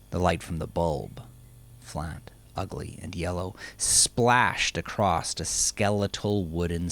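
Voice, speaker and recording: A man narrating dark fiction in a dramatic, measured, brooding way, with phrase endings that drop to a hush. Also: low voice, gravelly. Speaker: audiobook-style narrator. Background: music.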